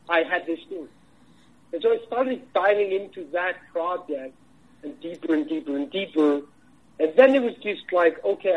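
A man from Iceland with an Icelandic accent, a film director answering interview questions in a halting way, full of restarts. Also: fairly high pitch, husky, narrow, tinny audio. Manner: halting, many restarts and fillers.

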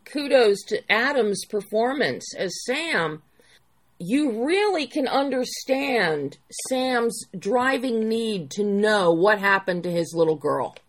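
A woman interviewer asking a filmmaker measured, appreciative questions. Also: mid-range voice, lively intonation.

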